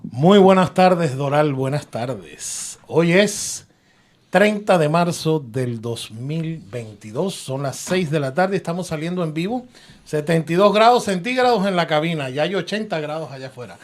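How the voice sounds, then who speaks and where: A man's voice: fairly high pitch, expressive, unhurried. A man hosting a live radio talk show from a booth, joking with his guests and mixing in English terms.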